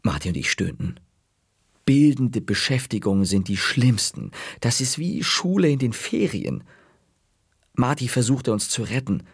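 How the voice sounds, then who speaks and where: A man narrating a children's story with wry, lively storytelling. His voice is husky with a gravelly edge and moves expressively. The recording is dry and close. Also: mid-range voice, measured pace, dry recording. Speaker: audiobook narrator. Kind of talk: storybook narration, first person.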